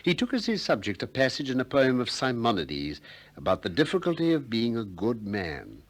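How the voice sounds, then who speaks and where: An actor with a British accent playing a shrewd, politely persistent ancient Greek philosopher in a 1960s radio play, with measured, probing delivery. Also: male voice, mid-range voice, huge pitch swings. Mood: wry narrating. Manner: probing, courteous, measured questioning.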